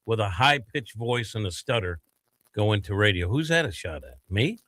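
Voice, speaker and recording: A man co-hosting a sports talk radio show in casual banter, making dry, measured remarks. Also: on the low side, lively intonation, a bit bright, dry recording.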